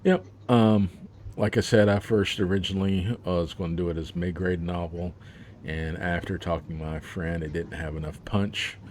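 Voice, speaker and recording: A man's voice: low voice, steady tone, moderate pace, a little mellow, a little hiss or hum. A man, an author, talking about his book in a casual interview, rambling with plenty of fillers and laughs.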